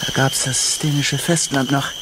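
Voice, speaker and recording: A man's voice: medium pitch, little pitch movement, moderate pace, very noisy, lightly acted. A man playing a practical, curious expedition companion in a science fiction radio drama, speaking tersely. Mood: matter-of-fact.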